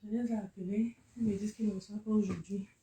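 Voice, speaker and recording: A woman speaking quietly and quickly off-camera, explaining a problem to someone nearby. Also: mid-range voice, steady tone. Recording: distant and echoey off-mic.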